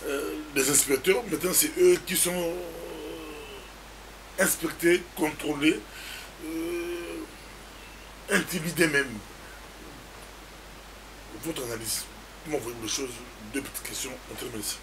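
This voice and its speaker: A man's voice: a little high, takes it slowly, long pauses. Hesitant male interviewer, faint hiss. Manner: recounting events, hesitant fillers. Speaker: interviewer host. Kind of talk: interview questions.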